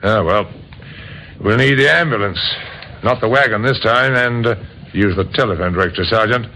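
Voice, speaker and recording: An actor playing a courteous, thoughtful police inspector in an old radio drama, hesitant and dryly ironic. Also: male voice, on the low side, normal speed, sharp tone. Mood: dry, polite. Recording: muffled, hissy old radio recording.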